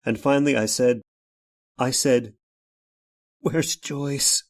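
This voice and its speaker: An actor playing an anguished, vengeful man in an old time radio horror drama, speaking haltingly with dramatic pauses. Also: mid-range voice, steady tone. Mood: dazed, haunted. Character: anguished man turned vengeful robot, narrating his horror tale. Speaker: narrator and lead actor.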